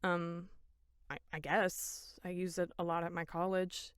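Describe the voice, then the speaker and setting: Shy, bookish young woman counselor, played by a woman, audio drama. Hesitant, earnest, slightly low pitch, dry close sound. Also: flat delivery, a little slow, clear, resonant tone, no echo, close to an everyday voice. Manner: hesitant, flustered, sincere. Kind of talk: horror audio drama acting.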